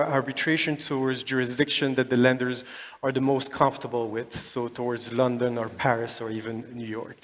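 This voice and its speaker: A man speaking as a conference panelist, halting with frequent restarts. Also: mid-range voice, big-room sound. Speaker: panelist from an arbitration institution.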